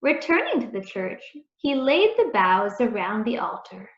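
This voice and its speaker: Female storyteller for a kids' read-aloud, slow and lively, in a slightly dull, roomy recording. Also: fairly high pitch. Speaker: children's story reader.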